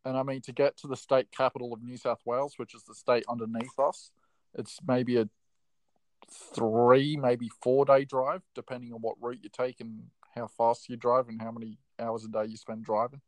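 A man's voice: medium pitch, level tone, normal speed, dry recording, rough recording. An Australian man talks casually as a podcast guest, spinning stories with ease. His voice sits in the middle range and sounds dry and close. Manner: chatty, tells stories readily. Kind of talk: casual chat.

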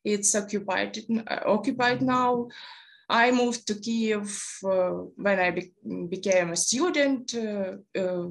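A young woman from eastern Ukraine with a Ukrainian accent, speaking as a guest in an interview, hesitant with many pauses and fillers. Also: medium pitch, rough recording. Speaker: guest speaker, Fulbright coordinator. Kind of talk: interview conversation.

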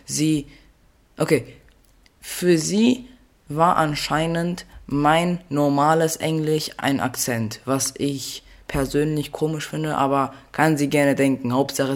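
A teenage boy hosting a solo podcast, rambling playfully, correcting himself and losing his train of thought. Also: mid-range voice. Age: teens. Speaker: podcast host.

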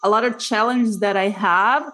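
A woman's voice: medium pitch, fairly even intonation, normal speed, fairly bright tone. Woman from Brazil, empathetic podcast host. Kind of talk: casual interview conversation.

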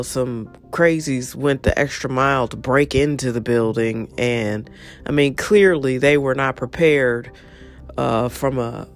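Casting note: husky woman host with a stuffy nose, blunt and animated, faint music underneath. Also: sits low. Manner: blunt, animated, swears casually. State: nasal congestion. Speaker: show host. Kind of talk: opinionated solo monologue.

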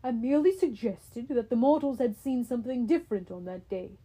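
A woman voicing a wise old centaur teacher who speaks calmly and kindly. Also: fairly high pitch, brisk, husky, rough recording.

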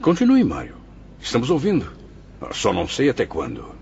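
A Brazilian actor playing a sardonic, impatient tormented soul in a radio drama, rough-voiced and mock-polite. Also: male voice, medium pitch, wildly swooping pitch, normal speed, a little mellow, a little hiss or hum. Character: sardonic, impatient man among tormented souls. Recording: muffled radio drama recording.

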